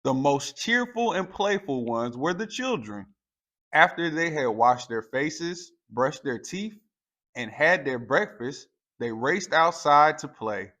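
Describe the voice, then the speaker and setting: A man reading a children's picture book aloud as a storyteller, in a deliberate, expressive way. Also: on the high side. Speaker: storytime reader.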